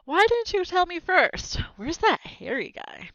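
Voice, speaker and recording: A woman voicing rowdy, nosy, taunting young boys from a storybook, loud and exclamatory. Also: very high voice, very animated, a little slow, no echo, dull audio, voice pushed up, heavily acted. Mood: excited, nosy. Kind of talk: storybook character voices.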